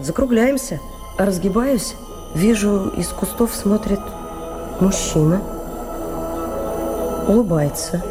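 Casting: actress for frightened wartime woman in radio play, emotional and halting, reverberant space with music underneath. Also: on the low side, close to an everyday voice. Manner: halting, emotional, repeats words. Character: distraught wartime civilian woman, emotional, pleading, haunted by fear. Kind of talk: Russian radio play acting. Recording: long reverb, clear music behind.

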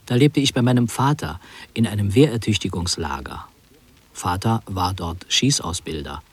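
Husky reflective male narrator. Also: on the low side, normal speed. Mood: wry, reflective. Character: man recalling his wartime youth, wry, reflective narrator. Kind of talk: literary radio drama narration, scripted.

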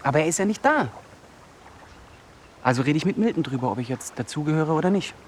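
Man acting brooding young male outcast, lively swooping intonation, earnest, halting, radio drama, insect noise behind. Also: fairly high pitch, lightly acted. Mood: earnest, searching. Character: searching young male outcast arguing with God, vulnerable, brooding.

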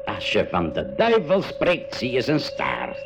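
An actor playing an indignant, stubborn professor uncle with an emphatic, blustering manner in a radio drama. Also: male voice, mid-range voice, huge pitch swings, measured pace. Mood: uneasy. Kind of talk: 1980s school radio drama acting. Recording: noisy 1980s radio play recording.

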